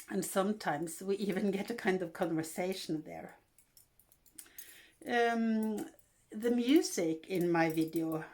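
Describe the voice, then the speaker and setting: Slow-talking Norwegian woman vlogger. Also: mid-range voice, darkish tone. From Norway. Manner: unhurried, halting, with frequent fillers and restarts. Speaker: vlog host. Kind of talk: casual vlog talk.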